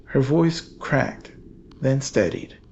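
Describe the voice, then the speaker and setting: Slow, gravelly man narrating horror. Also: mid-range voice, darkish tone, muffled recording. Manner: grave, deliberate, ominous. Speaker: narrator and archivist host.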